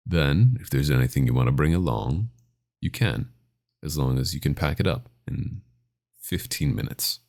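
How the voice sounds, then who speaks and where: Man acting a gravelly, extremely deep possessive vampire. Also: lively intonation, darkish tone, full character voice. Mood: menacing, commanding. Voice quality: very deep, gravelly, often breathy. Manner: menacing, condescending, intimate drawn-out phrasing. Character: possessive, domineering male vampire doctor hungry for blood. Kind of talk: scripted ASMR roleplay.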